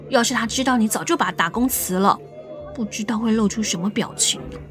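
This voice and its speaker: A woman playing a nosy teenage schoolgirl best friend in a radio drama, playful and teasing with drawn-out words. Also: on the high side, close to an everyday voice. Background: faint music.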